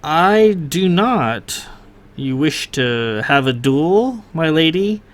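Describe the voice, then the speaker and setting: A man's voice: fairly high pitch, animated, slow pace. A man voicing a flustered, apologetic young nobleman who stammers politely and is a little out of breath.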